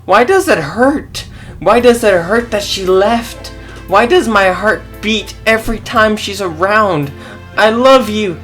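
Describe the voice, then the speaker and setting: A fan reader voicing a fierce young cat-like woman in a story reading, snarling, shouting and sobbing her lines high above their own voice. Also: lively intonation, unhurried, soft background music.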